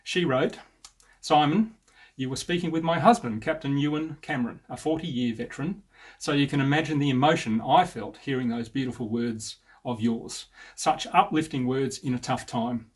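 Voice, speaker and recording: An Australian man in his fifties giving a measured, sincere personal narration as an air traffic controller, with a slightly husky voice. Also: mid-range voice.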